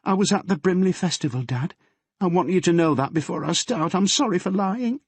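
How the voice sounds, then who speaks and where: A man's voice: sits high, expressive, a little fast, husky, dry recording, pitched above the performer's own voice, heavily acted. A man doing a scared, tearful teenage girl. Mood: anxious. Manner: shaky, pleading, breathless. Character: frightened tearful teenage girl, a policeman's daughter.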